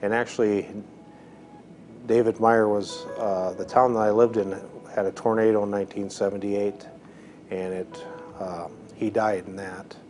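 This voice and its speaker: Low-voiced man in his forties. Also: little pitch movement. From Minnesota, US. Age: 40s. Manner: thoughtful, many "uh" pauses. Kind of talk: reflective interview testimony. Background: soft music.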